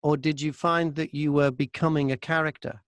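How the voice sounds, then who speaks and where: A man speaks as a guest in a casual interview. His voice is fairly high for a man. The sound is dry and close, with no room echo. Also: fairly even intonation, moderate pace. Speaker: voice-over artist and audiobook narrator, interview guest.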